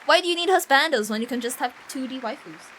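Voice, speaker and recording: A woman hosting a casual game livestream, chatting in a giggly, excitable, gushing way with plenty of swearing. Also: high voice, fast, a little hiss or hum. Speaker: livestream host.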